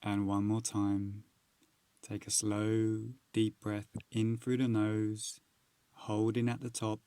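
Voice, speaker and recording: Male meditation narrator, lowish voice, flat and steady delivery, soothing and unhurried, close dry sound. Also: dry recording. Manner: unhurried, measured, soothing instruction with long pauses. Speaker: meditation guide.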